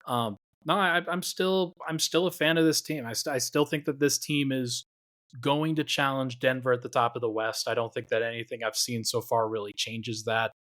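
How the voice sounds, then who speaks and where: A man hosting a basketball podcast, talking in a relaxed way and thinking aloud with lots of fillers. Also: mid-range voice, normal speed. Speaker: podcast host. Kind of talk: casual basketball podcast chat.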